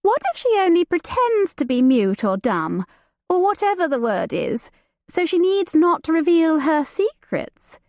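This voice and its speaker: A woman's voice: very high-pitched, very animated, a little slow, a little mellow, no echo, studio quality, pitched above the performer's own voice, full character voice. A woman voicing a flighty, romantic young noblewoman with a lilting, gossipy manner. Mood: playful.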